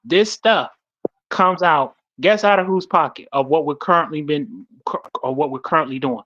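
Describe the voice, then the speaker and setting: A man's voice: a little high, a bit bright, muffled recording. A man talking live and casually with conviction, repeating himself, stumbling and checking that listeners follow him. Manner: halting, repetitive, seeks agreement with listeners. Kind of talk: impassioned casual live talk.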